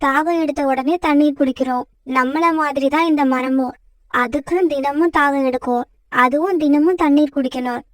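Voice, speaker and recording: A woman's voice: sits high, moderate pace, dry recording, studio quality, lightly acted. A woman voicing a principled, firm mother sparrow in a children's cartoon story. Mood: patient, instructive.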